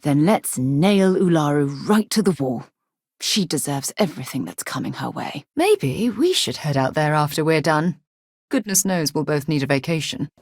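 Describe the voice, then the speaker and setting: An earnest game heroine, low voice, proper and expressive, super dry studio sound. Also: female voice, normal speed, dry recording. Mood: determined. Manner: articulate, formal, emotionally expressive. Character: earnest, principled woman explorer and leader, formerly navy. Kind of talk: video game dialogue acting.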